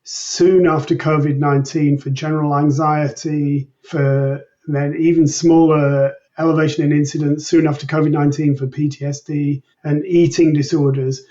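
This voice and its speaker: A man explaining research findings as an interview guest in a measured, methodical manner. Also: a little high, dark tone. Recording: distant mic, dull lo-fi sound.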